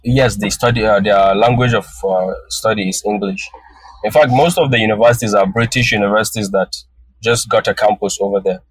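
A man's voice: fairly low pitch, brisk, breathy edge, rough recording. A man from northern Nigeria whose first language is Hausa, answering interview questions thoughtfully and often restarting his phrases. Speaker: guest photographer.

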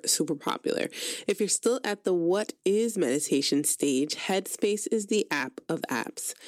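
A woman's voice: deep voice, a little mellow, no room sound, clean studio sound. A woman hosting an internet radio show, reading reviews from notes and adding chatty asides with fillers. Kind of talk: casual radio talk, reading app reviews. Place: radio studio.

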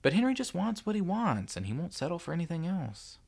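Man voicing an emotional mother, pitched high. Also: big pitch swings, fairly quick, gravelly, a little mellow, heavily acted. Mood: playful. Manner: emphatic, emotional. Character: teasing wife and mother turned frantic, adult woman.

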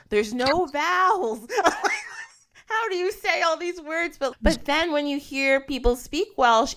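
A woman's voice: a little high, a bit bright. Bubbly chatty lady hosting a podcast, laughs a lot, says like all the time. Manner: bubbly and chatty, quick with laughter and many "like" fillers. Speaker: podcast host. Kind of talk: casual podcast conversation.

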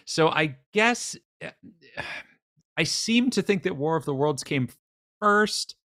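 A man's voice: fairly high pitch, expressive, takes it slowly, fairly bright tone, dry recording, studio quality. A man in a casual podcast chat, speaking as a hesitant quiz contestant who doubts and repeats himself.

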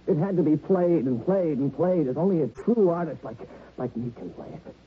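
An actor playing a temperamental, vain young violinist who has killed, impassioned and self-pitying in an old radio drama. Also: male voice, fairly high pitch, lively intonation, normal speed, lightly acted. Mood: fervent, vain. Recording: tinny old radio broadcast, faint noise.

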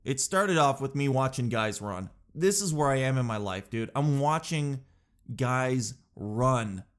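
A man's voice: mid-range voice, lively intonation, measured pace. A man talks casually to his camera, his nose stuffed up from crying. He rambles in a chatty, self-mocking way and keeps tossing in "dude.". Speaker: vlogger.

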